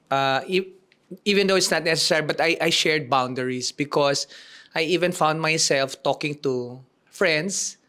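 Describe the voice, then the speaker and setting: A man with a somewhat high voice puts a question to a coach in a group session. He speaks haltingly. He restarts often as he searches for the right words. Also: fairly bright tone. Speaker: workshop participant asking a question.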